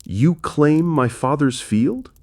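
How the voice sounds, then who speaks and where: A man's voice: on the high side, slow, pitched above the performer's own voice, full character voice. Casting: male narrator as a farmer's witty daughter, unflinching, composed, lightly teasing, for a fairy tale. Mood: curious. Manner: steady, quiet authority. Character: clever, calm young farmer's daughter, playfully sharp.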